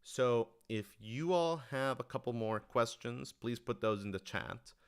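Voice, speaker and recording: A man's voice: medium pitch, lively intonation, measured pace, clear, resonant tone. A man hosting a casual livestream Q&A as a writer-director, rambling and thinking aloud as he talks to his audience.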